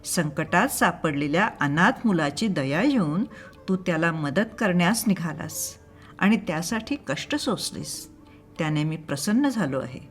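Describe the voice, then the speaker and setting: A woman voicing a divine boy god, serene and benevolent, teaching solemnly and calmly in an audio story. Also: on the low side, measured pace, faint music behind.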